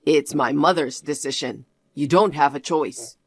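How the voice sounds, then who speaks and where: Woman doing a smug arrogant husband, lowish voice, cold and sneery, dry close sound. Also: measured pace, slightly rough, no room sound, full character voice. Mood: condescending. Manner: cold, scornful lecturing. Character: arrogant husband, dismissive young man. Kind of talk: one-voice drama reading.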